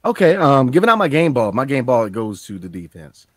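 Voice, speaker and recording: A man speaks at a mid pitch in a loose, conversational way, breaking up his football opinions with filler phrases. He sounds close to a dry mic with no room sound. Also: sing-song, brisk, no room sound. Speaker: podcast co-host. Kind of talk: casual sports chat.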